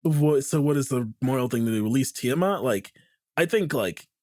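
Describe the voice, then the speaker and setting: A man's voice: medium pitch, lively intonation, moderate pace, warm tone, dry recording. Rambling man, dry close sound. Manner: thoughtful, rambling, heavy on "like". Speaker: podcast guest. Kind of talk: casual chat.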